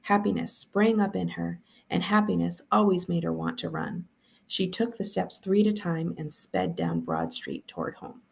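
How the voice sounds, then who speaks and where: Very creaky woman reading a story aloud. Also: lowish voice, expressive, moderate pace, a little mellow. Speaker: school librarian reading aloud. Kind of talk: expressive storybook narration.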